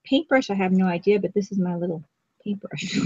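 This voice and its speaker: A woman chats casually while she paints, talking viewers through her work and giggling at her own jokes. Her voice sits at a middle pitch. The audio is dull and a little lo-fi. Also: brisk, warm tone, breathy edge, no room sound. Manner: chatty, giggly, self-teasing. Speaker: craft stream co-host.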